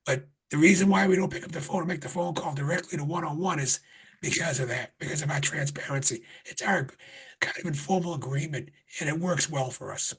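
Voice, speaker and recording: A man chairing a town board meeting in a conference room, speaking earnestly with restarts, his voice getting raspy. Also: on the high side, brisk, fairly bright tone. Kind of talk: public meeting remarks.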